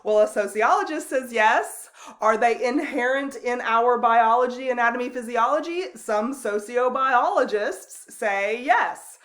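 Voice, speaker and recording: A woman instructor giving a recorded online lecture, speaking loosely and conversationally with frequent ums and restarts and a loud voice. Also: on the high side, measured pace. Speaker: college instructor.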